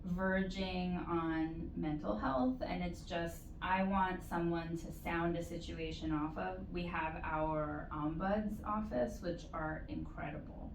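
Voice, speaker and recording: A woman giving measured, explanatory advice as an interview guest, in a conversational way with stretched emphasis. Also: lowish voice. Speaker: interview guest, university postdoctoral support staff. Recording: roomy echo, distant mic, faint noise.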